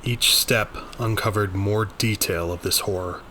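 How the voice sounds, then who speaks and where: Low, bright-edged man narrating horror. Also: fairly even intonation, measured pace, slight background noise. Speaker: story narrator. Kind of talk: scripted first-person horror narration.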